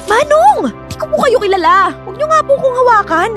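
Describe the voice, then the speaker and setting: High-voiced, timid young schoolteacher. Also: female voice, very animated, brisk, over music. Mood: frightened, pleading. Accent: Filipino. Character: timid, polite young female schoolteacher, easily frightened. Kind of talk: Filipino radio drama acting.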